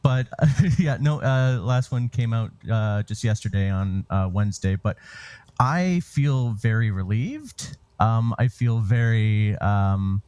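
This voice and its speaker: A man speaking as an interview guest, answering casually in a halting, informal, hesitant way. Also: on the low side, darkish tone, clear, resonant tone, no echo. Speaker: interview guest, theatre director and writer.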